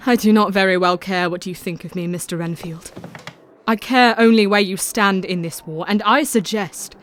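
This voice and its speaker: Composed young Victorian heroine played by a woman, mid-pitched, formal and steely, for gothic radio play. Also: moderate pace, fairly bright tone, slight background noise, lightly acted. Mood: steely, cutting. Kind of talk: audio drama acting.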